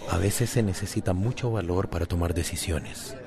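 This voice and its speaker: An actor with a Costa Rican accent playing a calm, understanding friend in a radio drama, reasoning patiently. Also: male voice, sits low, moderate pace, gravelly, a crowd in the background. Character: calm, understanding male friend giving gentle advice.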